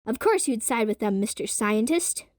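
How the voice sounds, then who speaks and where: Woman as a high-voiced, teasing teenage girl. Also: expressive, normal speed, no room sound, clean studio sound, natural voice. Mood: polite, wry. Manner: blunt, slangy, sarcastic. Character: teasing, casual, upbeat young teenage girl.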